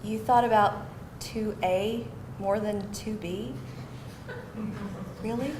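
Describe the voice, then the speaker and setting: A woman playing an exasperated, sharp-tongued girlfriend in a live screenplay table read, with emphatic, sarcastic delivery. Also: mid-range voice, steady tone, slow pace, close to an everyday voice. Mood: softened, resigned. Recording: echoey room, faint noise, live reading.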